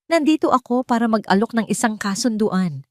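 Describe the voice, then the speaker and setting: A woman voicing a cold, imperious elderly rich matriarch who threatens calmly in an audio drama. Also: fairly high pitch, a little fast, no echo, studio quality, voice pushed up, natural voice. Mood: cold, persuasive. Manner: commanding, unflinching.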